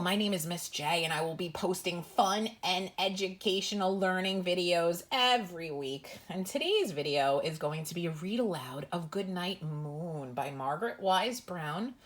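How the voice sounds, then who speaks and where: A woman reading a bedtime picture book aloud for young children as a host, with deliberate phrasing. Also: on the low side. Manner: slow, deliberate phrasing for small children, drops into whispers.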